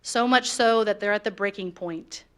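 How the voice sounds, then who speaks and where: A woman presents a prepared case at a school board meeting, speaking for the teachers' union. Her delivery is steady and measured, with a firm, even push behind each point. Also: medium pitch. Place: board of education meeting room.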